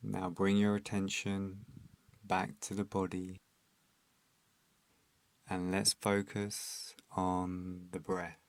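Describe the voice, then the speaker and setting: Male meditation guide: calm and soothing, extremely monotone delivery, very dry close recording. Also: low voice, no echo. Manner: calm, soothing, instructional, with long pauses.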